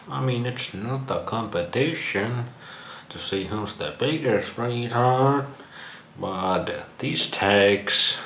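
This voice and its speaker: A man's voice: mid-range voice, a bit bright. A man with a Finnish accent giving casual, sarcastic reaction commentary, mocking and crude in his wording. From Finland. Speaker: vlog host. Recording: roomy echo, some background noise.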